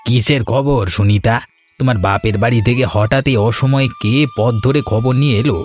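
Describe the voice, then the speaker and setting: A man voicing a polite, good-natured young village husband in an animated Bengali family story, earnest and deliberate. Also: medium pitch, soft background music, natural voice. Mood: earnest, agreeable.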